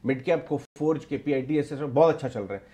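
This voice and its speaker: A man's voice: mid-range voice, brisk, mellow. A middle-aged man anchoring live market commentary from a TV studio on a lapel mic, explaining and repeating key points for emphasis. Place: TV news studio.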